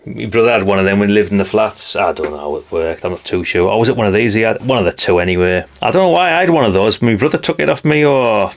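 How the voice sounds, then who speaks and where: A man's voice: on the low side, fast talker. A middle-aged man with a North East English accent, giving casual, rambling commentary in a chatty, matter-of-fact way, often ending remarks with tag questions. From North East England. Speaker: vlogger.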